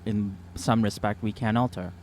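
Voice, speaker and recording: A man's voice: fairly low pitch, fairly even intonation, moderate pace, a little mellow, a little hiss or hum. A man talking conversationally as a player in a tabletop roleplaying game. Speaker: player in an actual-play podcast. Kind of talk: in-character tabletop roleplay chat.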